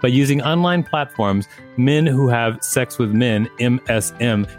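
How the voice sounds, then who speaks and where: Man co-hosting a read-aloud podcast. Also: mid-range voice. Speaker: podcast co-host reading wiki articles. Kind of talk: casual banter mixed with reading aloud.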